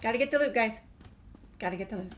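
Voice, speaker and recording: A woman's voice: fairly high pitch, fast talker. A woman giving casual, playful commentary as she plays through a game. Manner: informal, playful. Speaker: gaming video host. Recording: faint background noise. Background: game ambience.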